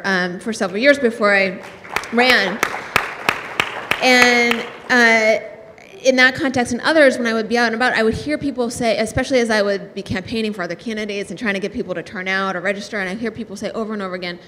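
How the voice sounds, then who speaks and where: Woman panelist, earnest storyteller, mid pitch, echoing hall, faint background noise. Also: fairly bright tone. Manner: earnest, many fillers and restarts. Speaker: panelist, state legislator. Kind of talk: panel discussion, personal storytelling. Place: large hall, panel on stage.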